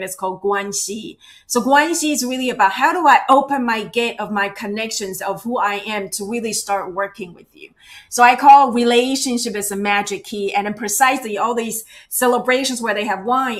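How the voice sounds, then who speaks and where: A woman giving a virtual workshop as a cultural awareness trainer, talking conversationally and checking in with the audience often. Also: medium pitch. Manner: conversational, checks in with frequent "right?" and "you know". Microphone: video call.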